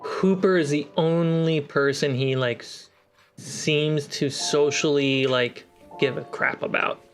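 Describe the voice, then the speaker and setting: A man's voice: on the high side, steady tone, slow, soft background music. A man running a tabletop role-playing game, giving casual narration and answers as game master.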